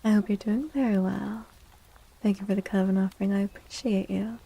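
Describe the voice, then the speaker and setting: A soft-spoken woman live streaming ASMR chat on a close microphone, gently greeting viewers, often repeating phrases and slipping into breathy whispers. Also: mid-range voice, fairly even intonation, brisk, rough recording.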